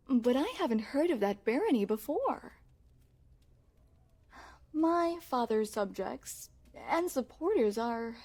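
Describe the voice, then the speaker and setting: Woman acting a soft-toned, unhurried regal young princess. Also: a little high, full character voice. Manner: formal, courtly, coy phrasing. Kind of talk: intimate ASMR roleplay acting.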